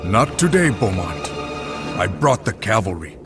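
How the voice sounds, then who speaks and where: Low, solemn old tracker. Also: male voice, sing-song, a little slow, over music. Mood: urgent. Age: older. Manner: grave, formal. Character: wise, solemn elderly Native tracker, earnest great-uncle. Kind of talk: scripted video game cutscene acting.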